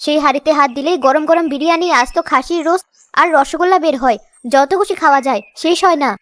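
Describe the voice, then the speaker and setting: A woman's voice: high voice, fast talker, a bit bright, no echo. A woman voicing a scheming, irritable village sister-in-law in a cartoon, bossy and slyly coaxing. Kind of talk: cartoon story voice acting.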